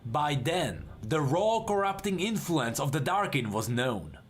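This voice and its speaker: A man reading scripted fantasy lore as a narrator, in a measured, dramatic storytelling manner. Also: a little high, big pitch swings, soft background music.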